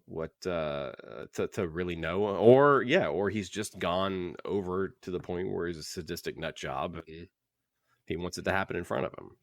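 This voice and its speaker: A man's voice: sits low, normal speed, no room sound. A man co-hosting a book podcast in casual discussion, opinionated and circling back over his phrases as he talks. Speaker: podcast co-host.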